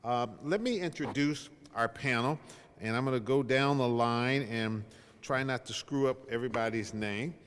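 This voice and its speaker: A man's voice: medium pitch, moderate pace, fairly bright tone, big-room sound, a little hiss or hum. A middle-aged man moderating a panel before an audience, speaking informally in a conversational, joking, self-deprecating way. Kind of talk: informal spoken introduction to an audience. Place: large reverberant event room.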